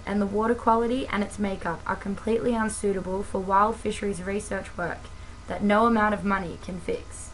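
An Australian woman narrating a scripted advocacy piece in a measured, formal, earnest way. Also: mid-range voice, fairly bright tone, slight background noise, rough recording. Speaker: campaign video narrator.

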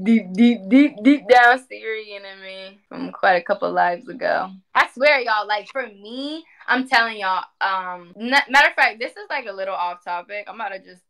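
Bright-voiced young woman, emphatic and casual. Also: mid-range voice. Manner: animated, emphatic, repeats phrases for emphasis. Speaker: spiritual community speaker. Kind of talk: casual livestream talk. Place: indoor room at home.

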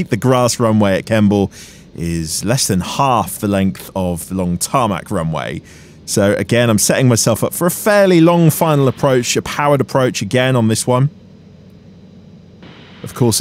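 A man's voice: mid-range voice, slight background noise. An Englishman in his thirties, a pilot vlogging about flying in a matter-of-fact way. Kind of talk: vlog narration and cockpit talk. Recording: faint engine drone behind.

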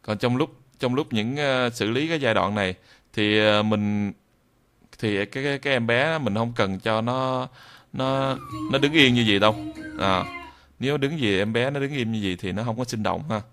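A man giving an unscripted software tutorial in a hesitant, halting way, often repeating phrases. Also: medium pitch, smooth. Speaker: video tutorial presenter.

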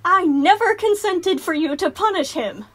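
A woman's voice: lively intonation, moderate pace, a bit bright. A woman voicing an entitled, angry mother who rants aggressively. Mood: ranting. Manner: shrill, ranting, aggressive.

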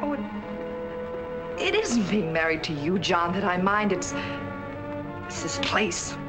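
A young trapped wife, lively pleading voice, 1940s film soundtrack under music. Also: female voice, fairly low pitch, unhurried. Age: young adult. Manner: emotional, pleading.

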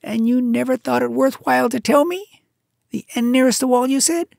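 A woman voicing a brave little male mongoose who talks in a brisk, scolding, impatient way. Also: sits high, gravelly, darkish tone, dry recording, studio quality, pitched above the performer's own voice.

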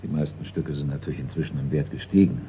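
An actor playing a polite, precise middle-aged art appraiser in a German radio crime play. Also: male voice, very deep voice, fast talker. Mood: precise, tactful. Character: seasoned male art appraiser, deferential, precise, discreet. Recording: dull, noisy old radio recording.

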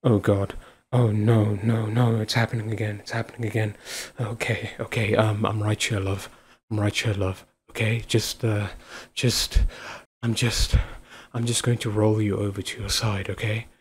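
A man playing a devoted, affectionate husband in an intimate audio roleplay, with a husky voice. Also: on the low side, flat delivery, normal speed. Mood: anxious, soothing. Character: devoted, affectionate husband, playful then anxiously caring. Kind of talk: intimate ASMR boyfriend-style roleplay acting.

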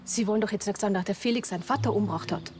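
A woman's voice: medium pitch, very fast, music in the background, lightly acted. An actress playing an anxious, newly widowed farmer's wife in her forties in a TV crime comedy. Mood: worried. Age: 40s.

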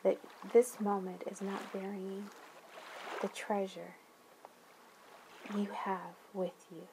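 A woman leading a guided meditation, speaking gently in deliberate phrases with long pauses and soothing affirmations. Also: medium pitch, flat delivery, noisy background.

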